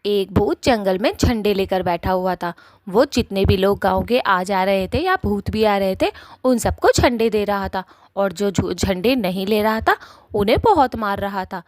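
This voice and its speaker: A woman's voice: medium pitch, a little slow, no room sound. Female storyteller for scripted cartoon narration, mid-range voice, dry and without room sound.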